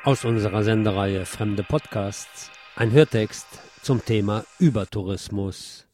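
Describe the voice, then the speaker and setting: Low-voiced male radio presenter over music. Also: a little slow, a little mellow. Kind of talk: scripted radio introduction. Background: music behind the voice.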